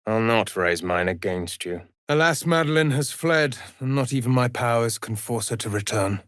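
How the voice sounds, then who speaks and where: A man's voice: medium pitch, expressive, moderate pace, fairly bright tone, no room sound, clean studio sound, lightly acted. A man playing a solemn, menacing necromancer judge hungry for vengeance in a fantasy video game, speaking formally and theatrically in archaic phrases. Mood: cool, aloof.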